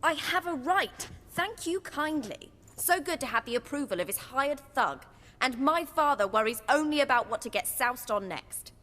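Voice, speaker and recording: An actress playing a bitter young noblewoman who talks in a cold, sharp, sarcastic way. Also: high voice, expressive, normal speed. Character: bitter young noblewoman, estranged daughter, zealous. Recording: long hall reverb.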